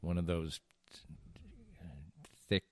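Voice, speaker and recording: A man's voice: sits low, flat delivery, drawn-out words, dark tone, clear, resonant tone, no echo. A man hosting a podcast, moving between casual banter and sponsor segments. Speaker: podcast host.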